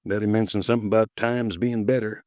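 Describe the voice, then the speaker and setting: A man's voice: lowish voice, steady tone, fast, a little mellow, dry recording, studio quality, close to an everyday voice. An actor playing a stocky, red-faced insurance agent in his late fifties, chatty, folksy and easily riled, in a 1950s radio drama. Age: 50s. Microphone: close studio mic, dry.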